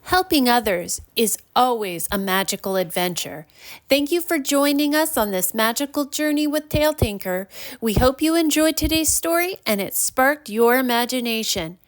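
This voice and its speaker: A woman's voice: a little high, animated, normal speed. A woman storyteller reading a scripted children's bedtime story.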